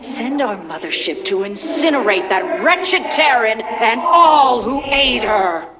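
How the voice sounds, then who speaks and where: A woman's voice: fairly high pitch, big pitch swings, takes it slowly, noisy background. An actress playing a haughty, ruthless female alien commander in a video game, speaking in formal, imperious threats. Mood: wrathful. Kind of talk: video game cutscene acting.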